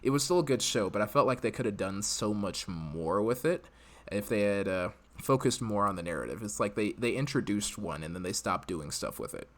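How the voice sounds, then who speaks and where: A man's voice: fairly low pitch, expressive, a little fast. An American man hosting a live podcast, chatting casually and giving his opinions in a conversational way. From United States. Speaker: podcast host.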